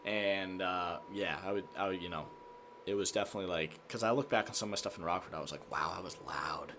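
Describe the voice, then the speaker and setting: A man's voice: low-pitched, quick pace, slight background noise. A young American man chatting casually and energetically as an interview guest, often acting out his own thoughts. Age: young adult. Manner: energetic, animated self-quoting. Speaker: guest, TV sports reporter and anchor. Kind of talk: casual chat.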